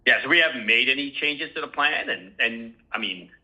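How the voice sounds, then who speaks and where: A man speaking as an executive on an earnings call, unscripted, with halting, repeated words. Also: medium pitch, lively intonation, bright, forceful tone, rough recording. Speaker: company executive answering questions.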